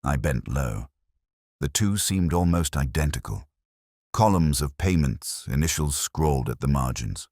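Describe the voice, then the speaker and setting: A man's voice: a bass voice, animated, unhurried, a little mellow, no room sound, clean studio sound. A man reading a dramatic detective story in a scripted, literary narration style. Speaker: audiobook narrator telling the tale as a detective's companion.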